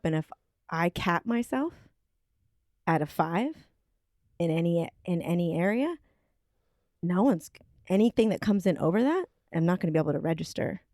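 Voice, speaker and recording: A woman with a low, gravelly voice muses through ideas in relaxed conversation. She pauses and fills gaps as she works out her thoughts. The sound is dry and close, with no room echo. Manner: thoughtful, searching, with many fillers. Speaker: podcast co-host. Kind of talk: casual chat. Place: home kitchen table.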